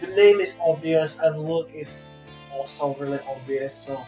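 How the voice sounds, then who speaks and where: A man, an English speaker, giving a casual, unscripted game review in a halting, repetitive way as he searches for words. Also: on the high side. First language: a language other than English. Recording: roomy echo, music playing behind. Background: soft guitar game music.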